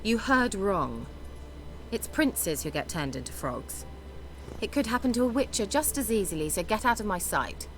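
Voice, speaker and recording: A woman's voice: mid-range voice, sing-song, moderate pace, slight background noise, lightly acted. A woman playing a haughty, flirtatious sorceress in a fantasy game, with a theatrical, teasing, imperious manner. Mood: seductive, then scornful.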